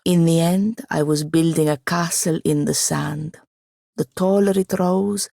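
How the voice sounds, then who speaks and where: A woman's voice: on the low side, vocal fry, darkish tone, no echo. An actress playing a proud, bookish young wizard woman in a fantasy video game, speaking formally with a dry, haughty manner. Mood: musing. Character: proud, bookish, sharp-tongued young wizard woman, newly freed apprentice. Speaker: companion character in a fantasy role-playing game. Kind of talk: scripted video game dialogue.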